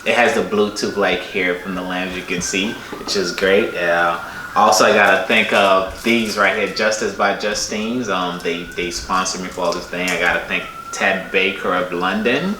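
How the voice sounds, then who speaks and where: Low-voiced man, echoey and distant. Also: fairly even intonation, normal speed. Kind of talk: casual panel talk. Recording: echoey room, distant mic, clear noise.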